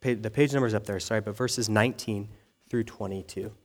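A man's voice: on the low side, brisk. A young adult man preaching to a congregation, conversational and self-deprecating, often checking in with "okay?" and "right?". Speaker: pastor. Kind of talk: sermon.

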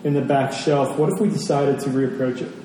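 A man's voice: mid-range voice, steady tone, brisk, mellow. Man preaching in a very echoey hall. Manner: emphatic repetition of key phrases. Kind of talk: conversational sermon. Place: large reverberant room. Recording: very echoey hall, distant mic, faint noise.

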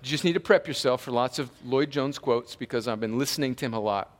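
A man's voice: medium pitch, brisk. A male pastor preaching a sermon, chatty at times and then emphatic, sniffling with a slight cold. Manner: conversational preaching that rises to emphatic peaks. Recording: long hall reverb.

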